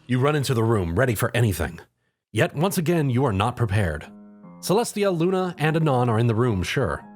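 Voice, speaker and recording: A man's voice: medium pitch, sing-song, brisk, soft background music. A man narrating a comedic story in the second person, as a dramatic reader.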